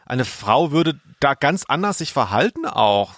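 A man's voice: medium pitch, sing-song, measured pace, a bit bright, dry recording. Emphatic man chatting, very dry sound. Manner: bemused, emphatic, colloquial. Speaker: podcast co-host. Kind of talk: casual review chat.

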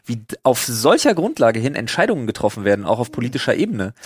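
A man's voice: mid-range voice, big pitch swings, fast talker, slightly rough, no room sound, studio quality. A man hosting a podcast, chatting casually and enthusiastically in colloquial, slangy language, as in a sponsor read. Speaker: podcast host.

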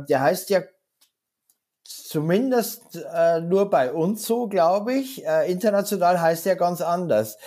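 A man's voice: on the high side, mellow. A man hosting a podcast interview, colloquial and circling his way toward each question. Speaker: podcast host, editor-in-chief. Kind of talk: conversational interviewing.

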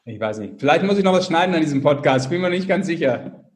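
A man's voice: fairly high pitch, lively intonation, fast. A man co-hosting a sports podcast in casual banter, teasing with dry sarcasm.

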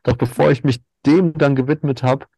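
A man in his thirties, raised in Germany, chatting in a relaxed way as an interview guest, laughing and using many fillers. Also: mid-range voice, normal speed, vocal fry, breathy edge, no echo. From Ukraine, raised in Germany. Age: 30s. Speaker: guest, cacao entrepreneur. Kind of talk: casual interview talk. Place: indoors at night, insects heard outside.

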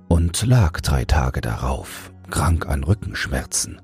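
A man narrating a fairy tale as a bedtime audiobook, in a calm, measured storytelling manner. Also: rumbling low. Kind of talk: scripted fairy-tale narration for falling asleep.

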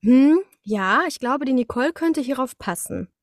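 A woman talking casually as an interview guest, telling things in an animated, conversational way. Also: high-pitched, normal speed, dry recording, studio quality. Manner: animated storyteller, acts out short quotes, laughs often.

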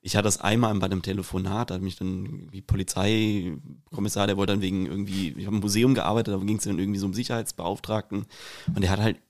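Lower-voiced Hessian man telling anecdotes. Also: fairly even intonation, fast talker, darkish tone. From Rüsselsheim, Germany. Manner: hesitant, repeats words when starting. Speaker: podcast co-host.

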